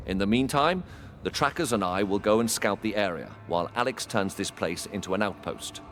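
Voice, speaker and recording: Low-voiced commanding war leader. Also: male voice, lively intonation, brisk, a bit bright, slight background noise. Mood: grave, resolute. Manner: solemn, authoritative orders. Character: commanding male war leader, grave and resolute. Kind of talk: dramatic fantasy game cutscene acting.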